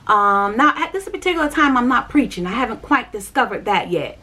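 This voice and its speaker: A woman's voice: a little high, sing-song, a little fast, sharp tone. A woman chatting on her vlog, telling a personal church story. She repeats herself and talks straight to her viewers. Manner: chatty, repetitive, addresses viewers directly. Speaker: vlogger.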